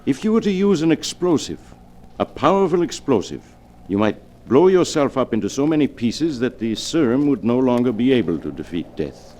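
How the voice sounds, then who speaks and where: Man doing a calm cold old-radio professor, measured, lots of swing in his pitch, faint hiss. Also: close to an everyday voice. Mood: cool. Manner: measured, coolly deliberate. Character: cold, calculating older male scientist. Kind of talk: 1940s radio acting.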